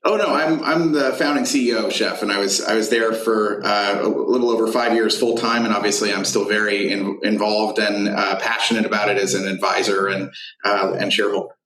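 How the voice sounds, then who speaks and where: Man, fairly low pitch, hesitant phrasing, interview explanation, echoey call recording. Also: fairly even intonation, fairly quick, a bit bright. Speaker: tech company founder, interview guest. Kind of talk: interview explaining his business.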